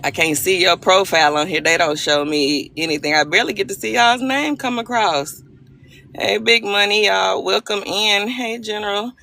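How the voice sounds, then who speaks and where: Gravelly woman chatting live. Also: mid-range voice, normal speed, bright, forceful tone. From Arkansas, US South. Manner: chatty, playful, laughs often, greets viewers by name. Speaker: livestream host. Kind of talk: casual live-stream chat with viewers. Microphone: phone.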